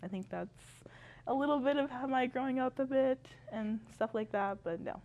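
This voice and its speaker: A woman's voice: high voice, moderate pace, a little mellow, lo-fi sound. A young woman from Canada, a university student, talking as a podcast guest in chatty style and laughing often. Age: young adult. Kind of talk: casual chat.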